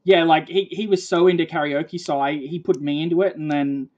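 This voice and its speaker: A man in his late twenties with an Australian accent and a high-pitched voice, rambling casually with lots of fillers. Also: steady tone, fairly quick, highs cut off. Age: 20s. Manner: rambling, filler-heavy storytelling. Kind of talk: casual chat.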